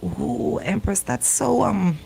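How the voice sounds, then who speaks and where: A woman's voice: medium pitch, huge pitch swings, slow, vocal fry, warm tone, a little hiss or hum. A woman with a Bahamian accent hosting a radio show with casual, playful, colloquial banter. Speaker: radio host. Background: music bed at times.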